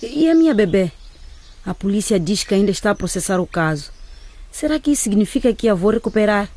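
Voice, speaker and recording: A woman's voice: medium pitch, expressive, a little fast, dark tone, lightly acted. A woman acting as an anxious, stubborn, poor mother in a radio drama, with an urgent, bossy manner. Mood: anxious pleading.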